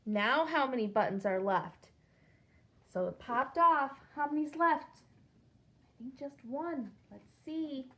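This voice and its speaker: Young female teacher, unhurried children's read-aloud, slightly high voice, counts and quizzes the kids. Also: animated, fairly bright tone. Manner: asks the children questions, counts along.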